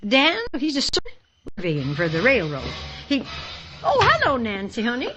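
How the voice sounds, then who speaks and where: A woman's voice: on the high side, huge pitch swings, normal speed, a bit bright, lo-fi sound, soft background music. An actress playing a chatty, middle-aged frontier mother who keeps a boarding house in a radio western. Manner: hospitable, motherly. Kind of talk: old-time radio western acting.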